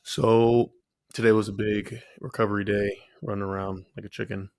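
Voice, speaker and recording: A man's voice: fairly low pitch, flat delivery, normal speed, darkish tone, no echo, studio quality. A man aged twenty-seven co-hosting a casual podcast, playful and teasing. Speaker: podcast co-host, football player. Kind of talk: casual chat.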